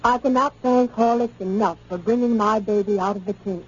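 A tinny, narrow 1930s radio recording of a woman acting a grateful mother. She gives a scripted advertising testimonial in a mid-pitched, slightly husky female voice. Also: a little fast, natural voice. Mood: earnest.

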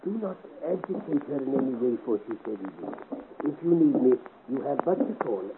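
A tinny, scratchy old radio drama voice: a grave, courteous man at a sickbed. He is gravelly and formal, with a swooping, theatrical pitch. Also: sing-song, moderate pace. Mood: discreet, cautioning. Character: grave, courteous Frenchman tending a dying patient. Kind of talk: 1950s radio serial acting.

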